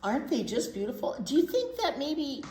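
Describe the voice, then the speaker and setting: Lively woman reading aloud to children. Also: sits high, brisk. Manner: conversational, simple explanations for young children. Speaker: children's storytime host, librarian. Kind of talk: picture book read-aloud with chatty asides to children. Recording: echoey room with faint background noise.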